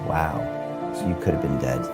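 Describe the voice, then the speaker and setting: A man's voice: very deep voice, measured pace, echoey room. A man interviewing someone in a casual, conversational style. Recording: music behind the voice. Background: background music.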